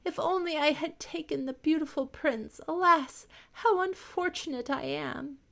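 A woman's voice: a little high, sing-song, moderate pace, a bit bright, pitched above the performer's own voice. A woman voicing a haughty, spoiled young princess in a storybook reading, imperious and fussy. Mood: wailing. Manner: imperious, wailing when upset.

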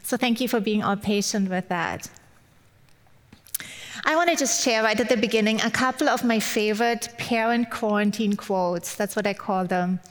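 A woman's voice: mid-range voice, normal speed. A middle-aged woman from Germany with a German accent, giving a personal, lightly humorous church sermon as a guest speaker. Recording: long hall-like reverb.

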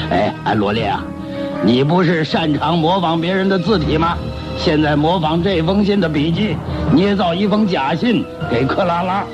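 An actor playing a ruthless, scheming, hot-tempered gang boss with a thick, hoarse voice, barking orders in a radio play. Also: male voice, fairly high pitch, unhurried, music in the background, lightly acted. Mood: sly, calculating. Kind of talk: theatrical radio drama acting. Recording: muffled lo-fi radio drama recording.

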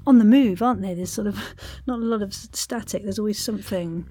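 A woman's voice: mid-range voice, brisk, a crowd in the background. A woman hosting a podcast in an effusive, playful and theatrical way, chatting casually and enthusiastically. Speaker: podcast host and interviewer.

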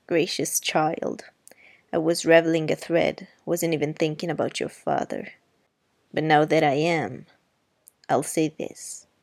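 A woman reading the part of a wry, sharp-tongued middle-aged neighbor, speaking slowly, dryly and grimly. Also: low voice, creaky, no room sound, pitched below the performer's own voice, full character voice. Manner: slow, dry and grim. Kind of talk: audiobook character reading.

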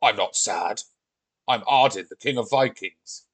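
A man voicing a huge, childish Viking king who is blunt, demanding and quick to tantrums, for a children's story. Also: fairly low pitch, a bit bright, husky. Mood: upset, proud.

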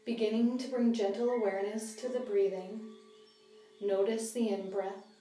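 A woman guides a body scan meditation in a soft, mellow voice. She gives gentle instructions with long pauses. The recording is roomy and a little distant from the mic, with music behind her. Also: mid-range voice, steady tone.

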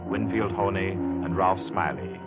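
A man's voice: low-pitched, a little slow. A man reading scripted announcements in the style of 1940s radio. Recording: muffled old radio recording, loud music. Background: loud theme music.

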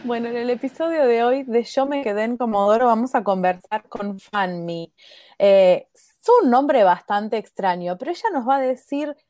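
A woman's voice: fairly high pitch, moderate pace, dark tone. A woman with an Argentine accent hosting and interviewing on a podcast, moving between scripted and conversational delivery.

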